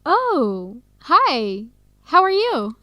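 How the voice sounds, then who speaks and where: A woman's voice: high voice, huge pitch swings, very slow, bright, forceful tone, dry recording, exaggerated voice. An actress playing a friendly young woman researcher in an educational radio drama, speaking in simple, short replies. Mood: friendly, pleased.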